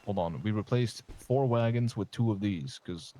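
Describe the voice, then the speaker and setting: A man's voice: lowish voice, normal speed, warm tone. A man in a roleplay playing a prison officer, sardonic and joking, swearing freely. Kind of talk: in-character roleplay chat.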